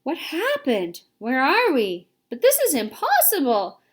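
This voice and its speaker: A woman's voice: sits high, big pitch swings, unhurried, voice pushed up. A woman voicing a polite, anxious ladybug in a storybook reading. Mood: fretful. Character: polite, fretful female ladybug.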